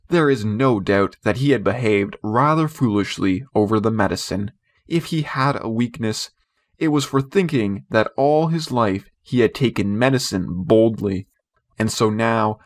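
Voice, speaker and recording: A man reading a classic children's novel aloud as scripted audiobook narration. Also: fairly low pitch. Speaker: audiobook reader.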